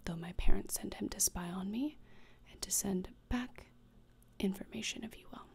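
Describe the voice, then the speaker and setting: A young woman playing a gloomy, morbid gothic teenage schoolgirl in a whispered ASMR roleplay, deadpan, deliberate and disdainful, close to the microphone. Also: mid-range voice, creaky, slightly rough. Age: young adult. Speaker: ASMR creator. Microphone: close desktop microphone, seen.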